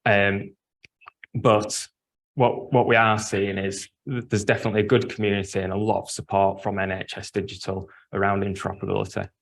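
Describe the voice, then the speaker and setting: A man's voice: lowish voice, level tone, a little fast, gravelly. A man from Leeds with a Yorkshire accent, giving a conference talk in an earnest, explanatory way with frequent ums and restarts. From Leeds, England. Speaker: speaker at an industry event.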